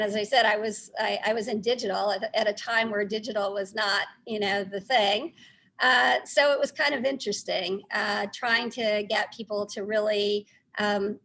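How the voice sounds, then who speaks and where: A woman's voice: fairly high pitch, fairly bright tone, low-quality recording. Middle-aged woman with a Texas accent. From Texas, United States. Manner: halting, conversational. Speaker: newspaper publisher, guest speaker. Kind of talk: informal talk recounting a career.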